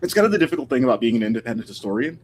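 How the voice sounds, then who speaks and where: A man's voice: medium pitch, very animated, very fast, a little hiss or hum. A man talking casually and thoughtfully as a guest historian in an informal interview, picking his words tentatively over a video call.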